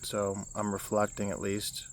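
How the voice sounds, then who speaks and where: A young man with a low baritone voice, giving a casual self-help vlog outdoors, rambling with many restarts and fillers. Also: completely flat delivery, unhurried, a little hiss or hum. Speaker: vlogger.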